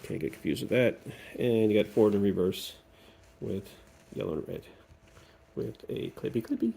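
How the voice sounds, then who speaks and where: A man's voice: lowish voice, normal speed, creaky, mellow, breathy edge. A man hosting a casual hands-on repair walkthrough in a workshop, chatty and thinking aloud as he goes, with some playful repetition of words. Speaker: DIY video host. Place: workshop or garage, cold day.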